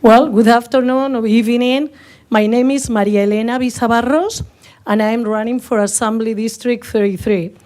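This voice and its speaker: Woman, 60s, Spanish accent, halting public comment, podium mic, higher pitch, mellow tone. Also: fairly even intonation. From northern Spain. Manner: halting, searching for words, many fillers. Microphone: podium microphone.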